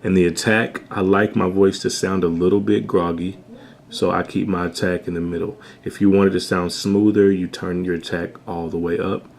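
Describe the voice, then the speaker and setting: A young man with a bassy voice, giving a laid-back, slangy home-studio tutorial. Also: little pitch movement, moderate pace, creaky, a little mellow. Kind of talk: casual tutorial talk.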